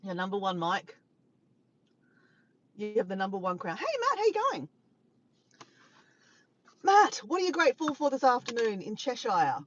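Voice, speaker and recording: A woman's voice: mid-range voice, big pitch swings, normal speed, pauses often, muffled recording, a little hiss or hum. An Australian woman live-streaming in casual chat, rambling and laughing as she reads out viewers' comments. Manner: chatty, rambling, laughs often, greets viewers.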